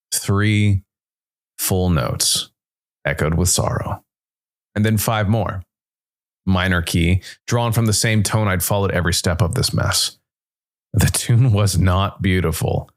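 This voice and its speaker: A man voicing a weary, somber jazz musician who narrates a noir story in a brooding, deliberate, dramatic way. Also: deep voice. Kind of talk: scripted noir first-person narration.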